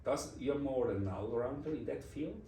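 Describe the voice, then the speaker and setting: A man's voice: medium pitch, animated, moderate pace, warm tone, far from the mic, a little hiss or hum. Man, Swiss German accent, forties, reflective interview guest, roomy echo, a bit off-mic. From Switzerland. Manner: reflective, non-native phrasing with restarts. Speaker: interview guest, design executive. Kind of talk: casual interview conversation.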